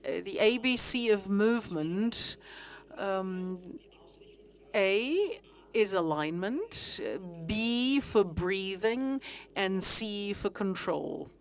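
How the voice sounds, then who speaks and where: A middle-aged woman giving a conference presentation, speaking haltingly with frequent pauses and fillers. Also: mid-range voice, lively intonation. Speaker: conference speaker on fitness training.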